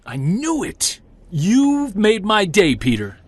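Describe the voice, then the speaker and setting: A man's voice: high-pitched, huge pitch swings, takes it slowly, dry recording, exaggerated voice. A man playing a stubborn, determined amateur investigator in an audio drama, speaking in a casual, earnest, conversational way. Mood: eager. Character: determined, stubborn male amateur investigator chasing a creature mystery. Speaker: investigator and narrator. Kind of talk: audio drama dialogue and reflective spoken journal.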